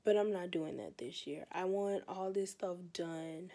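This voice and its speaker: A young American woman with a stuffy, hoarse cold voice, chatting casually and rambling in a tired way, recorded on a phone held close in her bedroom. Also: on the low side, measured pace, warm tone. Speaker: beauty vlogger. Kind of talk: casual vlog chat. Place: bedroom, indoors.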